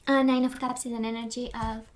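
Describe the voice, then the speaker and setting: Woman tarot reader, light high voice, going hoarse, rambly, slips into whispers. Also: little pitch movement, fairly quick. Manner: rambling, many fillers, drops into whispers. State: losing her voice. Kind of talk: casual spoken tarot reading.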